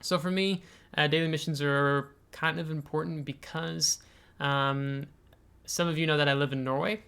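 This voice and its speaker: An American man hosting a video game tutorial, explaining things step by step in a halting way with frequent filler pauses. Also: fairly high pitch, fairly even intonation, vocal fry, sharp tone, clear, resonant tone. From United States. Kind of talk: explanatory game tutorial, semi-scripted screen walkthrough.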